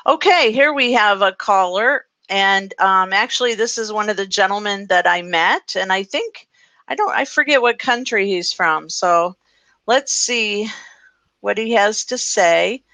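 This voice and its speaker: A woman hosts a casual live video call with a bright, crisp-edged tone. Her sound is very dry, with little room around it. She talks off the cuff and welcomes guests as she goes. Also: medium pitch, expressive, unhurried, dry recording. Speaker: host of a live video chat. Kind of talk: casual unscripted chat. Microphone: live group video call.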